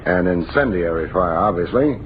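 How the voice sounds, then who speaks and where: An actor playing a wry, wisecracking American hardboiled detective in an old-time radio drama, with dry, theatrical phrasing. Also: male voice, low-pitched, animated, normal speed. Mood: probing, wry. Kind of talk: old-time radio detective acting and first-person narration. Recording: dull old radio broadcast, music, vehicle noise.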